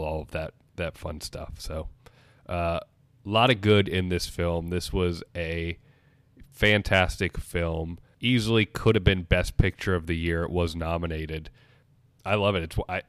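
A man's voice: deep voice, smooth, dry recording. A man in his twenties co-hosting a podcast, reviewing a film in earnest, rambling casual chat with many restarts. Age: 20s. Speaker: podcast co-host.

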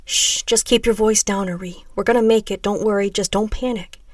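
A woman voicing a big, burly man, a calm and reassuring leader who speaks earnestly in an audiobook. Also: on the low side, moderate pace, no room sound, clean studio sound, far from the mic, natural voice.

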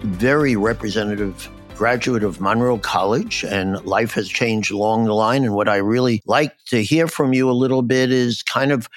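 A man's voice: fairly low pitch, moderate pace, hardly any pauses, faint music behind. A man hosting an interview, encouraging his guest and prompting with short affirmations. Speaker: podcast interviewer. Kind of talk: conversational interview.

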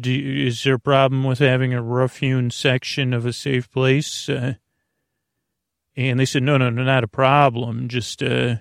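A man with a creaky, gravelly voice hosting a bedtime podcast in a soothing, halting, rambling monologue that drifts into tangents. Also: mid-range voice, no room sound, clean studio sound. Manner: meandering, halting, stop-and-start, trailing off into tangents; soothing, lulling. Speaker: podcast host.

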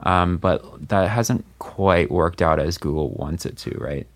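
Low-voiced man hosting casually. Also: unhurried. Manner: loose and rambling, many fillers. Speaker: podcast host. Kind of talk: casual chat.

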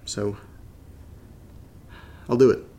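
An American man playing an anxious, brooding young podcaster in a horror audio drama, confiding in a halting, casual, gravelly voice. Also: dark tone. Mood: reluctant.

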